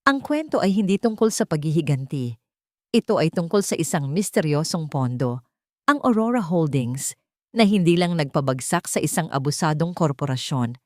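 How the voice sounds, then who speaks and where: A woman's voice: lowish voice, a little mellow, no room sound, clean studio sound. A woman with a Filipino accent reading a scripted, dramatic romance story as its narrator.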